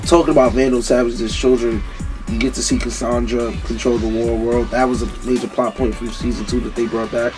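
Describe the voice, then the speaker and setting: A man's voice: mid-range voice, flat delivery, brisk, over music. A man hosting a casual discussion, losing his voice, laid-back and chatty. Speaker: host. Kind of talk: casual chat.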